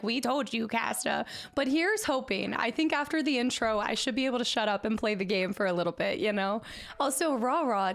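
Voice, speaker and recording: A chatty woman streaming from her apartment and greeting her chat, slightly hoarse as she recovers from laryngitis. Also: high-pitched. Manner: chatty and upbeat, rattles off viewer names. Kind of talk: casual live-stream chat. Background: faint background music.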